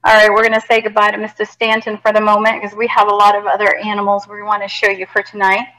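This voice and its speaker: A middle-aged woman from Costa Rica, a zoologist giving a live animal talk, chatty and animated, with many fillers and restarts, on a headset mic indoors. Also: medium pitch, fast, roomy sound, low-quality recording. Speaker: zoologist educator. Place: indoor animal facility room.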